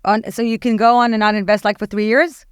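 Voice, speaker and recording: A woman's voice: a little high, level tone, quick pace, clean tone, no room sound, studio quality. A woman, speaking as a podcast guest in a candid, chatty way with many fillers. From Israel. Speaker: podcast guest, nonprofit executive director. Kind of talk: casual interview talk.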